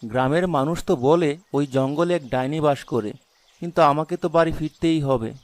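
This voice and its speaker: A young village traveller, voiced by a man, in a cartoon ghost story. His voice is fairly high and very clear, dry and close, with a polite, fearful, pleading manner. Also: a little fast, dry recording, natural voice. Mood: worried. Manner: pleading, worried. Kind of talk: cartoon horror story voice acting.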